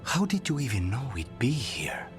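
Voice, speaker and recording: An actor playing a grim, vengeful assassin in a video game, speaking tersely and intensely. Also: male voice, medium pitch, big pitch swings, moderate pace, natural voice. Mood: grim, guarded. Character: grim, vengeful male assassin, quietly menacing. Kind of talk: dramatic video game acting. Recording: game cutscene with loud background music.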